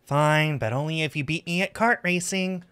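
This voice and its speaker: A man's voice: a little high, normal speed, fairly bright tone, smooth, voice pushed up, close to an everyday voice. A man voicing a carefree, unapologetic teenage girl in a visual novel read-aloud. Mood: playful. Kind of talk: acted visual novel dialogue.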